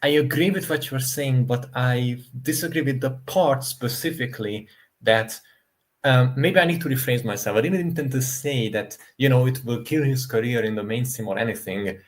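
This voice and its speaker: This is a man with an average-pitched voice on a livestream panel. He debates conversationally, choosing his words with care and building long, measured sentences.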